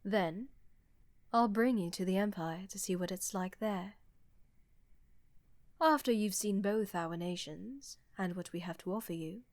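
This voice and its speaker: Woman acting slow-talking, sly warrior empress. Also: medium pitch, no room sound, close to an everyday voice. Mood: amused, friendly. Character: sly, teasing warrior empress, confident and persuasive. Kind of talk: fantasy audio roleplay acting.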